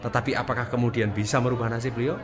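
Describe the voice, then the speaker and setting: A man's voice: medium pitch, fairly even intonation, very fast, crowd noise. A man explaining politics in an earnest, emphatic way, posing rhetorical questions as a commentator.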